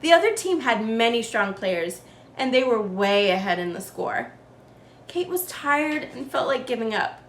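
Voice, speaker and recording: High-pitched, slow-paced woman reading a storybook. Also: expressive. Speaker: children's read-aloud storyteller, show host. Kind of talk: scripted storybook narration for kids. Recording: roomy echo with faint background noise.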